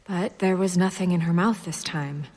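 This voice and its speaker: A proper, composed young woman official from a game, played by an actress, with a lowish, breathy voice in a long reverberant hall. She speaks precisely and questioningly, holding her unease in check. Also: moderate pace, darkish tone, natural voice. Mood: analytical, troubled. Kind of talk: video game voice acting.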